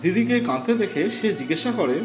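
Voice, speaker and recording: A man narrating a first-person ghost story in an expressive, dramatic manner. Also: high voice, a little fast. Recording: roomy with faint music.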